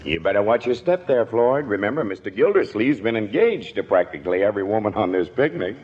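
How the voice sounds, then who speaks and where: A hearty small-town police chief in a 1940s radio comedy, placating and teasing. His pitch ranges extremely widely. The recording is muffled with age. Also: sing-song, a little fast.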